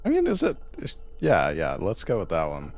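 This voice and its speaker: Deep-voiced man with a lively pitch. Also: normal speed, vocal fry, no room sound. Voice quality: deep voice suited to radio. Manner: laid-back, hesitant, trails off mid-sentence. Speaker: guest streamer in co-op game. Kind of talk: casual voice-chat banter while gaming.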